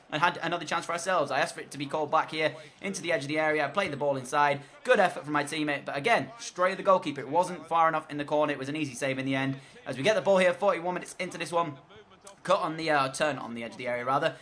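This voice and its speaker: A man talking through his football video game match as voice-over, hoarse from losing his voice, rambling in a chatty play-by-play with frequent fillers. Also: on the high side, bright, forceful tone. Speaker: gaming commentator.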